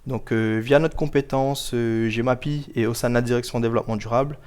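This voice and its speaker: A man giving explanatory answers as an interview guest, measured and formal, pausing often to choose his words carefully. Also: medium pitch, fairly even intonation, a little mellow, smooth. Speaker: guest, local government project officer.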